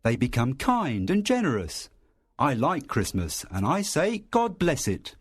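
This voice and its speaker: A man voicing a cheerful, warm-hearted nephew in a storybook, with a happy voice and an earnest, friendly manner. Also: mid-range voice, wildly swooping pitch, unhurried, slightly rough, no room sound, studio quality, pitched above the performer's own voice, heavily acted.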